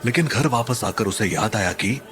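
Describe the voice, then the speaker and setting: A man's voice: fairly low pitch, lively intonation, moderate pace. A man narrating a scripted horror story in a dramatic, suspenseful manner. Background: music.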